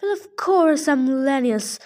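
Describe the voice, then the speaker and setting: A woman's voice: high voice, lively intonation, slow pace, a little mellow. A woman voicing a fat old male satyr who is pompous, fussy and easily frightened, stammering when nervous. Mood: indignant.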